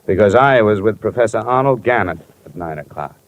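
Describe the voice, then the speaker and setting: A man's voice: lowish voice, expressive, a little fast, slight background noise. An actor playing a blunt, hard-boiled male insurance investigator in a 1950s radio detective drama. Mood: stern. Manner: clipped, blunt. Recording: muffled vintage radio broadcast.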